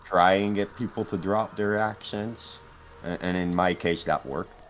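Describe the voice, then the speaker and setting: Dutch man, native dutch, very low voice, slow unhurried delivery, faint background hiss. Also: steady tone. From Netherlands. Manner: slow, hesitant, repeats words. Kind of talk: casual group chat while gaming.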